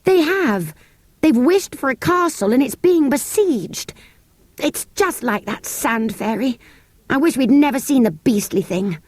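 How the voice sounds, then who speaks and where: A woman voicing an eager, polite young English schoolboy in a storybook, earnest and excitable, with a British accent. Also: high-pitched, a little slow, no room sound, voice pushed up. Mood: dismayed.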